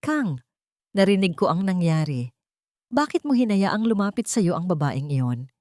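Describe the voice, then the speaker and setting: A woman's voice: fairly low pitch, big pitch swings, a little slow, a little mellow, dry recording, clean studio sound. A woman storyteller voicing a scheming rich mother, scornful and commanding. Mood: reproachful.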